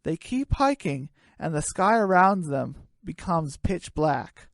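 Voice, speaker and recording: A storyteller narrating a homemade radio play. The pitch moves around a lot, and the recording is dry with very little room sound. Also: sing-song, takes it slowly, no echo.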